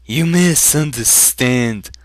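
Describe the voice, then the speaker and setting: A man voicing a thin, condescending traitor who reasons smoothly in a dramatic fantasy reading. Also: mid-range voice, expressive, takes it slowly, no echo, voice pushed up. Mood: smooth, reasoning.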